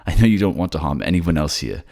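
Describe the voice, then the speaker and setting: Male actor as devoted fantasy hero, self-sacrificing, earnest, soothing, very deep, creaky voice. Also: big pitch swings, fast, a little mellow, heavily acted. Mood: soothing, desperate. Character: devoted self-sacrificing man, the heroine's oldest friend. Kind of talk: fantasy audio drama acting.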